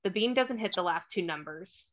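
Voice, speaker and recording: A woman's voice: mid-range voice, animated, normal speed, a bit bright, dull audio. A woman narrating the stage directions of a screenplay in a scripted read. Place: remote online table read.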